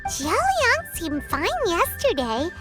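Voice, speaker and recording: A squeaky tiny fairy. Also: female voice, wildly swooping pitch, slow, over loud music, full character voice. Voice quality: squeaky, childlike. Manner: chattery, exclaiming. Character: tiny floating female fairy companion, excitable. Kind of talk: video game voice acting.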